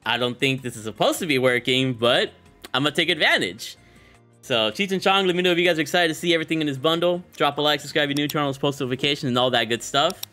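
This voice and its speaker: A young man hosting a casual game showcase, talking in an excited, slangy way on a close microphone. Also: fairly high pitch, fast, soft background music. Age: young adult. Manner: slangy, enthusiastic. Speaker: gaming content creator and host.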